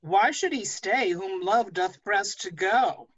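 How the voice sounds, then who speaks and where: A woman's voice: medium pitch, slow, breathy edge. A woman playing an earnest, lovestruck young man in an amateur Shakespeare verse table read. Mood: dismissive. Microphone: video call. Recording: muffled lo-fi video call.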